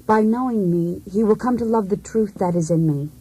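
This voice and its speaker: An actress playing a disillusioned wife in a poetic radio drama, speaking in a measured, introspective, theatrical manner. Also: female voice, medium pitch, dark tone, slightly rough, close to an everyday voice, rough recording. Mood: yearning, resolute. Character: disillusioned, cool, self-searching wife in a failed marriage. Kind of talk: poetic monologue in a radio play. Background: music.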